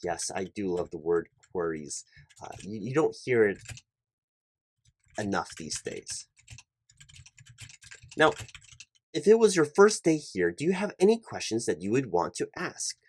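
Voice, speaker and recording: A man performing a one-sided job interview roleplay for ASMR as a hiring manager, speaking in a polite, officious, somewhat halting corporate patter. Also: lowish voice, sing-song.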